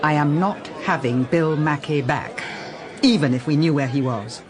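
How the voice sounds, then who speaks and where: An actress playing a brisk, romantic, well-spoken English woman detective in a radio drama, with theatrical enthusiasm. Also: low voice, a crowd in the background, background noise, natural voice. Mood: firm, defensive. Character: Josephine Daunt, brisk romantic theatre-loving female private detective.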